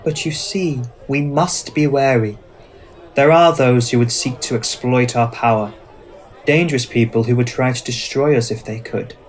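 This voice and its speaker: A man acts out an old-world male vampire with a medium-pitched voice. He is courtly and commanding, with passionate, poetic and formal phrasing, performed theatrically as ASMR roleplay. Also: normal speed, lightly acted. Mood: grave, protective.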